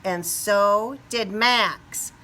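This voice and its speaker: A woman reading a picture book aloud to young children expressively, pausing to put questions to them. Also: mid-range voice, heavy background noise. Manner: animated, asks the young listeners questions.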